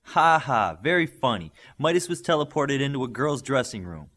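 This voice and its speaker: Casting: male reader as boyish young superhero, excitable and curious, lively range, slightly husky. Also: mid-range voice, normal speed, voice pushed up. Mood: exasperated.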